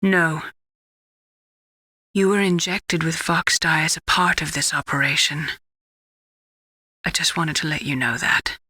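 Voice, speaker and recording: An anguished game scientist with a low, breathy voice. She speaks slowly and hesitantly, earnest and close to the mic. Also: steady tone, bright, forceful tone, dry recording, full character voice. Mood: earnest, troubled. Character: anguished, secretive female scientist confessing. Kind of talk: dramatic video game voice acting.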